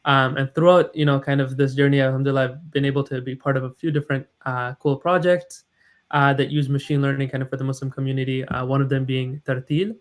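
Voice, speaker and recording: A man in his thirties, raised in the United States, talks through his story as a live stream guest. He speaks modestly and thoughtfully, with plenty of fillers and small restarts. His pitch sits in an ordinary middle range. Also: level tone, quick pace. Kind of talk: conversational interview.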